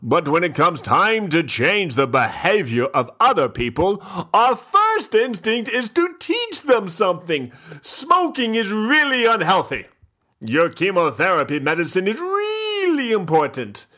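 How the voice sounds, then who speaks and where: A man playing a pompous, fussy, self-indulgent gentleman host who gives mock-literary dramatic readings with drawn-out, theatrical pauses. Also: high-pitched, wildly swooping pitch, heavily acted. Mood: grandly dramatic.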